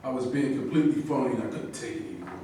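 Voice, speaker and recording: Male actor as principled boxer, defiant, halting, insistent, distant recording, heavy echo. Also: medium pitch, expressive, mellow, lightly acted. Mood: impassioned. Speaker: actor in a staged reading. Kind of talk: live staged reading of a play. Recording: very echoey distant room recording, faint noise.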